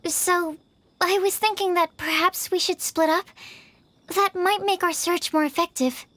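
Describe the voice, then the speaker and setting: A woman's voice: very high-pitched, steady tone, a little slow, a bit bright. A woman voicing a shy, studious young female alchemist, polite and tentative, in a video game. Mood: earnest. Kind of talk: scripted video game voice acting.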